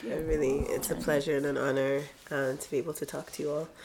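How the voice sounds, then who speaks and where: A woman from Kenya speaks as an interview guest in a low-pitched voice that sounds congested. She is casual and reflective, explaining her points through examples. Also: little pitch movement, fast talker, over a noisy crowd. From Kenya, of Tanzanian family. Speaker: interview guest, film director.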